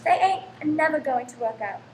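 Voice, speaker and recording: High-pitched fretful London landlady played by a woman. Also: lively intonation, normal speed, a little mellow. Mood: bitter, worried. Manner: anxious, complaining, dramatic. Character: fretful, careworn Victorian London landlady, sharp-tongued wife. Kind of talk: old-time radio play acting. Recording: roomy stage recording, faint background noise.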